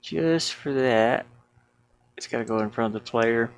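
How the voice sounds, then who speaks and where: A man's voice: mid-range voice, unhurried. A man casually thinking aloud in an amused, rambling way while commentating on his own game-building screen share. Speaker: indie game developer.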